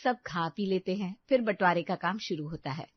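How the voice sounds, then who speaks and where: A woman's voice: on the low side, normal speed, slightly rough, no room sound, lo-fi sound. A woman reading scripted narration as the storyteller of a domestic tale.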